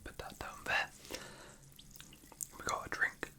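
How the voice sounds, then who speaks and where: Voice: slow pace, bright, forceful tone. Whispering British ASMR host. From United Kingdom. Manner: hesitant, unscripted rambling. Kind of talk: whispered ASMR, casual chat.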